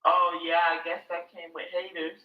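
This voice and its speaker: A woman's voice: fairly low pitch, monotone, moderate pace, muffled recording. A woman who works as a nurse, chatting casually on a group call and repeating her words as she thinks. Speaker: participant. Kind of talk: casual chat. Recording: echoey room.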